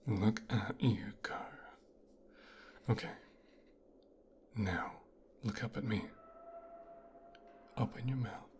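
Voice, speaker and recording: Man, low-pitched, unhurried, soft-spoken to whispered, teasing mobster's son in ASMR roleplay, commanding, close mic. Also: a little hiss or hum. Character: mafia boss's son giving a teasing, domineering medical exam. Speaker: ASMR voice actor performing a roleplay. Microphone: close microphone.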